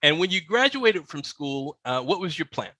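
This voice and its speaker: A man's voice: fairly high pitch, big pitch swings, normal speed, sharp tone, no room sound. A man hosting a podcast, welcoming his guest and asking short, encouraging questions. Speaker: podcast host. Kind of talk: interview hosting.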